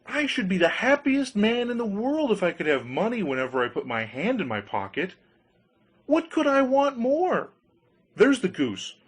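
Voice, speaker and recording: Storybook lad, played by a man: high, animated, gullible, cheerful, exclaims eagerly. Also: normal speed, husky, heavily acted. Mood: overjoyed. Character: cheerful, gullible young man, naive and easily delighted. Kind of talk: storybook character voice.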